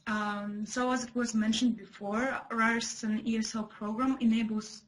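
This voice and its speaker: A woman's voice: on the high side, flat delivery, unhurried, low-quality recording. Young woman. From Kazakhstan. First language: Russian. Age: young adult. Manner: careful, hesitant. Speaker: student speaker.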